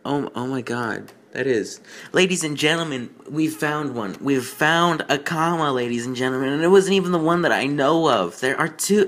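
A man hosting a fan fiction reading, giving mocking off-the-cuff commentary in an exasperated, incredulous, halting manner with stammered repeats. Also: on the high side, a bit bright.

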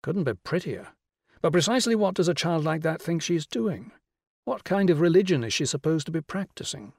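A man's voice: on the high side, expressive, brisk, creaky, darkish tone, slightly rough, dry recording, voice pushed up, full character voice. A man reading a novel, voicing an observant, sceptical male visitor with a dry manner. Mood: questioning.

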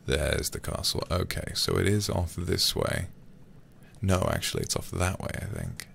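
A man livestreaming a game in a soft, casual ASMR style, murmuring to himself and often slipping into whispers on a close mic. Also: sits low, wildly swooping pitch, moderate pace, vocal fry, slight background noise. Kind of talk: soft, casual ASMR gaming stream chat.

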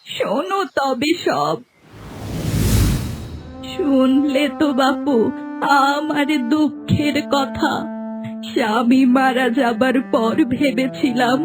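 Woman as a slow, plaintive old widow. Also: high voice. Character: wronged, sorrowful old widowed mother-in-law, gentle. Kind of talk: cartoon melodrama acting. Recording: music under the voice.

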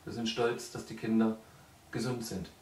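A man in his thirties giving an earnest, prepared charity update in short, measured phrases, seated indoors. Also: fairly low pitch, steady tone, darkish tone, a little hiss or hum. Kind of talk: prepared spoken report to supporters. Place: small indoor room, seated before camera. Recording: roomy echo, distant microphone.

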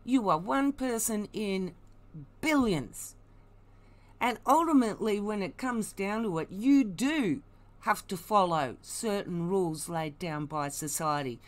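A woman's voice: mid-range voice, animated, a little slow. Blunt woman commentator. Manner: blunt, emphatic, mocking. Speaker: online commentator. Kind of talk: opinionated unscripted commentary.

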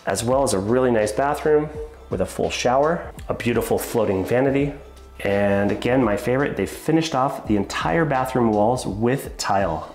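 A man's voice: fairly low pitch, a little mellow. A man in his thirties giving an upbeat walkthrough tour of a large empty house as a real estate agent, pointing out features as he walks. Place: empty large house, echoey tiled rooms and basement. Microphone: handheld camera, small clip-on mic. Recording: roomy echo from bare rooms.

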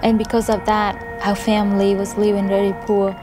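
A woman speaks softly and plainly, her pitch staying very level throughout. She is recorded on a studio interview set with a clear mic while music runs behind her. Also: completely flat delivery, fairly quick. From Cambodia. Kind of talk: personal testimony. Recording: music underneath.

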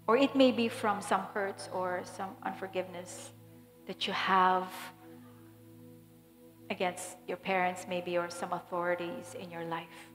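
A woman's voice: lowish voice, steady tone, a little slow. A woman pastor in her thirties, from the Philippines, leading a fervent prayer from a church stage. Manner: fervent, declaring prayer then softer guiding. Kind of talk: sermon prayer. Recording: echoey hall with soft music.

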